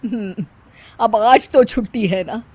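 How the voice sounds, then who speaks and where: A sharp-tongued older mother-in-law from a family tale, lecturing and scolding, played by a woman. Her voice carries a bossy, teaching tone. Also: on the high side, slow, husky, dry recording, rough recording.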